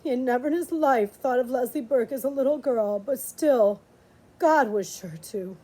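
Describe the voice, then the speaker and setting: A woman reading a storybook aloud in an expressive, dramatic way with drawn-out pauses. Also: high-pitched, poor audio. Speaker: audiobook reader, librarian.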